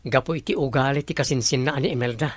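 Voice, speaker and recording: A man's voice: mid-range voice, quick pace, dry recording, close to an everyday voice. A man with a Filipino accent acting an earnest young man who wants to help, in a radio drama.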